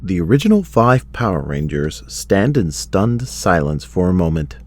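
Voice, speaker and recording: A man's voice: deep voice, sing-song, normal speed, over loud music. A man reading scripted dramatic narration as the narrator of an audio drama.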